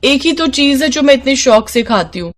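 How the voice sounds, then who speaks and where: An eager young daughter-in-law in a storybook tale, voiced by a woman. She is earnest and pleading, with a raised pitch. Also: expressive, normal speed.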